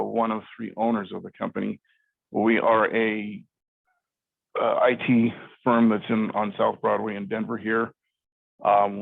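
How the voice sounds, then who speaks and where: A middle-aged man talks through a cybersecurity webinar in a very gravelly, husky voice. He speaks loosely, with fillers and restarts. The sound is dry and narrow, like a phone line over a video call. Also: fairly low pitch, no room sound. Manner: conversational, many ums and restarts. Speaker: IT company CEO giving a cybersecurity talk. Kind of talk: webinar presentation.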